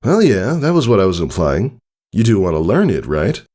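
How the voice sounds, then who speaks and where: Man voicing an upbeat, proud pony dad, lifted pitch. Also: sing-song, normal speed, mellow. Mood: teasing. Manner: casual, teasing, upbeat. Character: proud, easygoing father pegasus pony, playful and encouraging.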